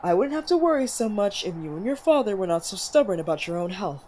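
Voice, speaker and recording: A woman voicing a doting queen mother who gently frets over her son, in a motherly ASMR fantasy roleplay style. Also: mid-range voice, very animated, quick pace, slight background noise, natural voice. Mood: fond concern. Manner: motherly, solicitous.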